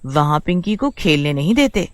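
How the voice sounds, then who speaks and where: A woman's voice: low-pitched, sing-song, normal speed, dry recording, studio quality. A woman narrating a scripted children's story as the storyteller.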